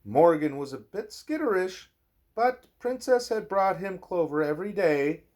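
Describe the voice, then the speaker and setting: Slow-paced man reading a bedtime story. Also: sits high, expressive, a little mellow. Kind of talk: storybook read-aloud mixed with casual family chat. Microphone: phone recording, held or propped nearby.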